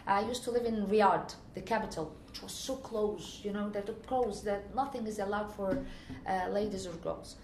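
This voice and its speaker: Egyptian woman interviewee, earnest and searching, gravelly voice, echoey room with faint ambience. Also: mid-range voice, moderate pace, hardly any pauses, noisy background. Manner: earnest, searching for words with restarts. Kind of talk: conversational personal account.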